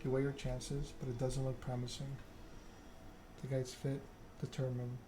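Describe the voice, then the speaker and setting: Male ASMR game narrator, mid-pitched, even and subdued storytelling, noticeable background noise. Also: normal speed. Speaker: gaming video creator.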